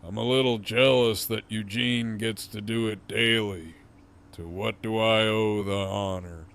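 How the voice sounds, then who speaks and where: A man as a slow, gravelly, sardonic captive. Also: on the low side, steady tone, fairly bright tone. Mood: wry, teasing. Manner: slow, wry, teasing drawl. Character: gruff, sardonic male captive, wounded and wearily softening. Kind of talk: audio drama acting.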